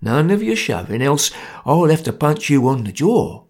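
Man doing a gruff ringleader. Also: on the high side, sing-song, moderate pace, darkish tone. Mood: severe. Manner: gruff, severe, matter-of-fact. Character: grim stern male ringleader, working-class.

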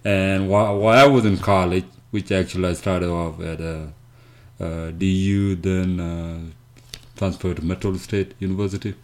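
A man with a South Sudanese accent telling his story as an interview guest, haltingly and with repeated words. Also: low voice, little pitch movement, a little mellow. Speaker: interview guest, foundation founder. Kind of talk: conversational storytelling.

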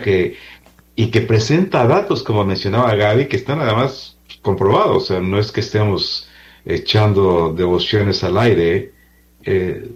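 A man speaking as a priest and interview guest, giving earnest, deliberate testimony in a conversational way over a dull, band-limited recording. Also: low voice, darkish tone.

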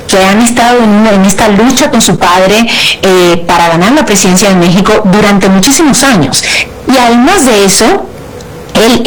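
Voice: medium pitch, poor audio. A Cuban-born columnist being interviewed, laying out political analysis in long, carefully qualified arguments. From Cuba. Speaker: columnist and guest.

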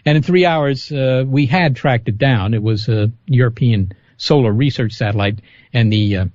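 A man's voice: medium pitch, normal speed, darkish tone, no room sound, dull audio. A man being interviewed as a scientist on a call-in radio show, chatty, laughing often and restarting his sentences. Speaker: guest scientist.

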